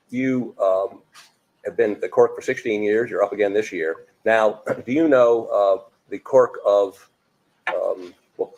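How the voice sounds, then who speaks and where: A man, questioning a witness in court in a brisk, folksy way that often restarts mid-sentence. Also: lowish voice, a little mellow, rough recording. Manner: brisk, folksy, self-interrupting. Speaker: attorney.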